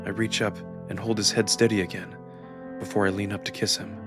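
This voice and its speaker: A man with a low voice narrates a visual novel in the first person. His storytelling is intimate and expressive, with music playing underneath. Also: fairly quick.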